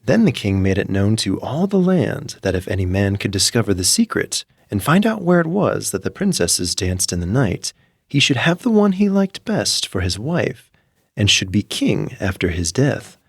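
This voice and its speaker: A man narrating a classic fairy tale from a script in a measured storyteller's manner. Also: fairly low pitch, sing-song, slightly rough, no echo, studio quality.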